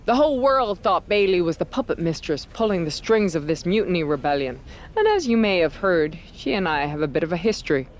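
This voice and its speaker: A woman's voice: fairly low pitch, big pitch swings, a little fast. Cocky woman wrestler, noisy backdrop. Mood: scheming. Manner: cocky, persuasive, conversational. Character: brash, scheming veteran woman wrestler pitching herself. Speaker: wrestler character in a video game story. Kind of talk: scripted video game cutscene dialogue.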